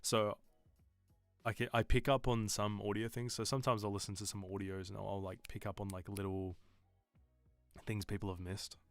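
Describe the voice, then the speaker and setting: Bassy man chatting loosely on a stream. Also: little pitch movement, normal speed, no room sound, soft background music. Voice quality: a lot of bass in his voice. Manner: rambling, loose, with many fillers and restarts. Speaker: streamer giving audio feedback. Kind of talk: casual live-stream chat.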